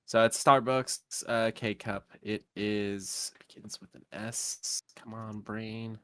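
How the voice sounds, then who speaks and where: A man's voice: fairly low pitch, steady tone, slow, pushed and bright, clear, resonant tone, dry recording. A sniffly man co-hosting a casual podcast chat, rambling with lots of ums. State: sniffly, congested. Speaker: podcast co-host.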